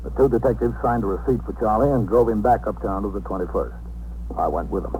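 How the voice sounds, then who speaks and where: An actor playing a dry, tough police captain, matter-of-fact and clipped in the style of a 1950s radio drama. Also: male voice, fairly low pitch, quick pace, dark tone, close to an everyday voice. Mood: factual, dry. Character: dry, tough New York police captain narrating cases. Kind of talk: factual scripted narration, 1950s radio acting. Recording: noisy, humming 1950s radio recording.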